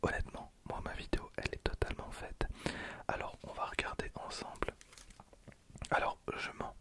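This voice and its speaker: An ASMR creator in their twenties speaking in a hushed, breathy whisper, casually commenting on card packs and softly repeating phrases. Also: moderate pace. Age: 20s.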